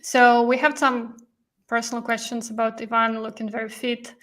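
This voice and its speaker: A woman's voice: a little high, level tone, moderate pace, a bit bright, a little hiss or hum. A woman hosting a live-stream interview, explaining things in a chatty way with many fillers, and losing her voice. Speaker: host and visa coach.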